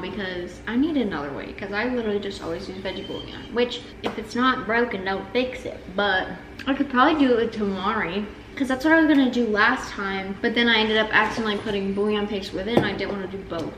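A young woman with a light lilt rambles cheerfully about her groceries, with plenty of fillers and self-mocking asides. She is picked up by a camera set back on a kitchen counter, so her voice sounds distant and roomy. A steady hiss sits under it. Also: medium pitch, fairly quick. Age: young adult. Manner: chatty, rambling, self-deprecating with lots of "like" fillers. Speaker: vlogger. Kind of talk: casual food vlog chat. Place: home kitchen, indoors. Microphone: camera on the counter, some distance away.

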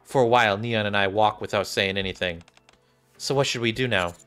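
A man's voice: fairly low pitch, brisk, a bit bright, smooth. A young adult man, a game streamer, reading visual novel dialogue aloud. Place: small indoor room. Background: game music.

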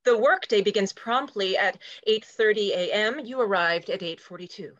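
A woman's voice: mid-range voice, moderate pace, a bit bright, no echo, highs cut off, close to an everyday voice. Actress as a fussy rigid office assistant lady, clipped and officious, play reading, really dry close sound. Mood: prim, stern. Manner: precise, clipped, officious, matter-of-fact. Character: prim, rigid, territorial female junior executive assistant. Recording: dry video call.

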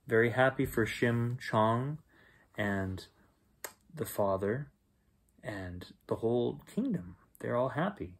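A middle-aged man reads a children's bedtime story aloud as its host, with expressive storytelling. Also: medium pitch. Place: small bedroom at home, indoors.

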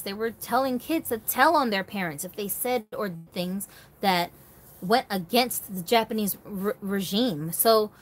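Female vlog host, medium pitch, casual and emphatic, speaks straight to her viewers. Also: slight background noise. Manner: emphatic, conversational, seeks agreement with rhetorical questions. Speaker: host of a doll collectors' channel.

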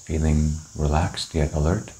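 A man leading a guided meditation, giving calm, gentle, unhurried instructions with long pauses. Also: extremely low pitch, a little hiss or hum. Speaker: meditation teacher. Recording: roomy, echoing indoor sound.

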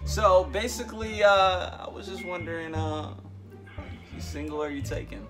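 A man's voice: sits high, normal speed, over loud music. A young man making casual prank calls, playfully repeating his phrases. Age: young adult. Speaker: prank caller. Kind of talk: casual prank-call banter. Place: small bedroom, indoors. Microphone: camera a short distance away.

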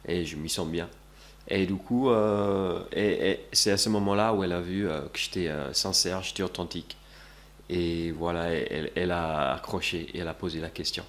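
A man's voice: sits low, fairly even intonation, normal speed, fairly bright tone. A young man seated in a small office, earnestly explaining his approach to the camera as a coach. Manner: earnest, coaching, many restarts. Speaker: dating coach. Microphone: camera at close range.

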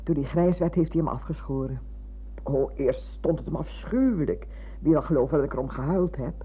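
A woman playing a chatty, motherly, bossy old schoolmistress in a radio drama, with a husky voice and a habit of repeating herself. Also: sits low, brisk. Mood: wistful, chatty. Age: older. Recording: muffled, narrow-band old radio recording.

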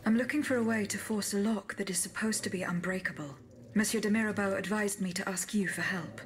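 Woman acting a polite robot bodyguard lady for a game, measured n formal, noisy ambience behind her. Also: mid-range voice, lightly acted. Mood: composed, formal. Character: courteous female automaton bodyguard, composed and formal. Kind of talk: video game cutscene acting.

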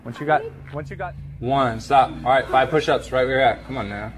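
A man's voice: mid-range voice, a little fast, a crowd in the background. A man with an American accent coaching gym clients casually, with hyped, encouraging commands. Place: warehouse gym and outdoor workout areas. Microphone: handheld phone. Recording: background music over handheld gym clips.

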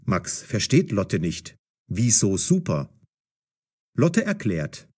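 Slow, animated male story narrator. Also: lowish voice. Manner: deliberate, clearly enunciated, expressive. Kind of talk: scripted story narration for language learners.

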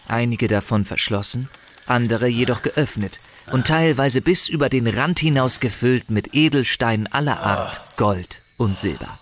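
A man narrating a scripted fantasy audio drama in a theatrical, suspenseful storytelling manner. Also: medium pitch. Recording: voice under loud music. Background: loud dramatic music.

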